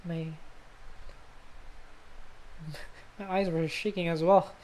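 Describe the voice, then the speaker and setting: A woman's voice: lowish voice, steady tone, extremely slow, slight background noise, rough recording. A woman livestreaming a game, reading story narration aloud and breaking off into informal asides, sometimes stumbling over words. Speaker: game streamer. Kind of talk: livestream let's play, reading visual novel narration aloud with casual asides. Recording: loud music under the voice. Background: loud game music.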